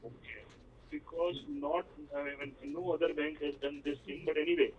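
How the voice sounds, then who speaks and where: Tinny phone-line man, halting and polite. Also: on the high side, level tone, distant mic, slight background noise. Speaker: financial analyst caller. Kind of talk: questioning on an earnings call.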